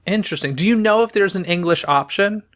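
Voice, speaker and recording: A man's voice: high-pitched, fast talker, fairly bright tone. A man hosting a podcast, asking a guest short, curious questions. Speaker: podcast host. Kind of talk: interviewer questions.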